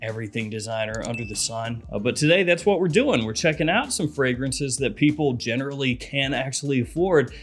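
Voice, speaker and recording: A man's voice: mid-range voice, a little fast, few pauses. A man in his thirties with a head cold, chatting animatedly as he reviews fragrances in a small room. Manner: chatty, animated, gesturing with hands. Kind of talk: casual video review. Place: small room with lit shelves of bottles.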